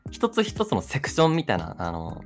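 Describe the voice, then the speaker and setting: A man's voice: mid-range voice, huge pitch swings, fairly quick. A young man co-hosting a radio show, casually recounting and explaining in loose conversational phrases. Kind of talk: casual chat, recounting an experience. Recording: music playing behind the voice. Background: music.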